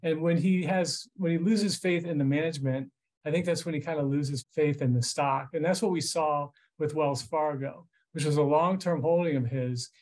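A man in his fifties with an American accent and a fairly high-pitched voice. He explains things informally as a financial commentator, speaking conversationally over a video call from a room at home. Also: moderate pace, a little mellow. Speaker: financial journalist interviewed as expert. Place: small furnished room at home.

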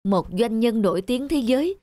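A woman's voice: a little high, expressive, normal speed, dark tone, lightly acted. A woman voicing a kindly, self-made young businessman in a cartoon, earnest and encouraging. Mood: earnest, advising. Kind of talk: cartoon character acting.

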